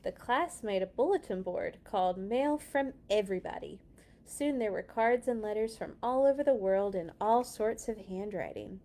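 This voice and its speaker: A woman's voice: mid-range voice, animated, moderate pace, dark tone. A woman reading picture books aloud to children, telling the stories expressively and talking to the young listeners between passages. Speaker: children's story reader. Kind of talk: children's read-along storytelling.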